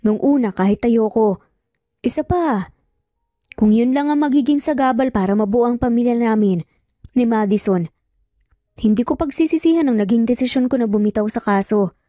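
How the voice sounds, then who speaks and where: A woman's voice: a little high, normal speed, pauses often, dark tone, no echo, clean studio sound, lightly acted. A woman voicing an earnest, determined lovelorn male lawyer in an audio story, speaking emphatically and idealistically. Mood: firm, defensive.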